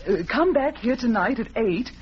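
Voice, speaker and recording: A woman's voice: fairly high pitch, animated, moderate pace, close to an everyday voice. An actress playing an agitated, insistent widowed lady, emphatic and melodramatic in 1940s radio style. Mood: eager, agitated. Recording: muffled, noisy old radio recording.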